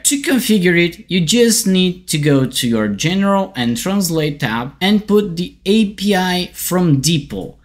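A man in his thirties from Brazil, with a Brazilian Portuguese accent, giving a friendly software tutorial from a bright indoor room. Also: on the high side. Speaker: tech writer demonstrating software.

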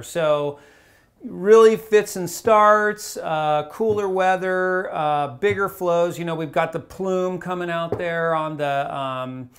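Gravelly-voiced man giving a fishing report. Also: a little high. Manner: relaxed, rambling, many fillers and restarts. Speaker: fly shop fishing reporter. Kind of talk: casual fishing report to camera. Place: small wood-paneled room indoors.

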